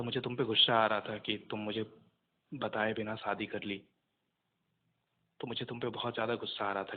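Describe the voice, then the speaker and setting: A young man talking casually on a recorded phone call, subdued and earnest, with hesitant pauses. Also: lowish voice.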